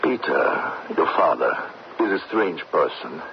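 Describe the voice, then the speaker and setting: A grave, kindly middle-aged village doctor, with a low voice full of creak. He is measured and fatherly, with richly varied intonation in a vintage radio-drama style. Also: expressive, exaggerated voice. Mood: reflective. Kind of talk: old-time radio drama acting. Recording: dull, faintly noisy old radio broadcast.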